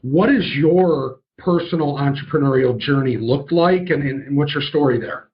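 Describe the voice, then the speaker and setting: A man's voice: fairly high pitch, moderate pace. Man hosting a podcast, lo-fi sound. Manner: friendly, with restarts and fillers. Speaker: podcast host.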